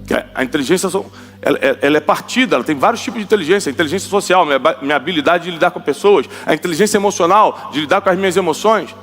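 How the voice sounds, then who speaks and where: Male preacher in his forties, emphatic and high-pitched, long hall reverb with faint music under. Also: very fast, fairly bright tone. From Rio de Janeiro, Brazil. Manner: emphatic, repeats lines for the audience to echo. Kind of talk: sermon.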